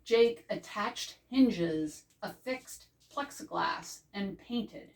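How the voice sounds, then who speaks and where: An older woman reads a picture book to children in a careful storytelling style. She takes her time over the phrases, with a medium-pitched voice. She is recorded indoors with the microphone some way off. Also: animated, extremely slow. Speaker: librarian reading aloud. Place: indoors before a green backdrop. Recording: distant microphone.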